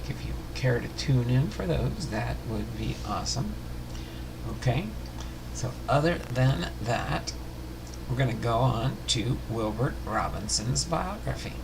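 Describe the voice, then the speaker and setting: A man hosting a livestream, talking casually and reading aloud in a halting way with uneven phrasing. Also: mid-range voice, vocal fry, noisy background. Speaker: host of a baseball biography livestream.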